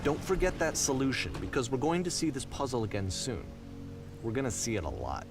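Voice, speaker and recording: An actor playing an earnest, reflective game developer who guides players in conversational scripted narration. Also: male voice, mid-range voice, animated, fairly quick, over music, close to an everyday voice. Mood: explanatory. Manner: earnest, explanatory.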